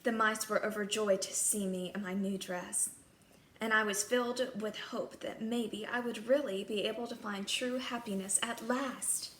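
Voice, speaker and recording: Bright-voiced woman storyteller in an echoey room. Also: medium pitch, fairly even intonation, moderate pace. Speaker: storyteller in character as a fairy-tale princess. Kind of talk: scripted first-person children's story narration. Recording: echoey room, clear background noise.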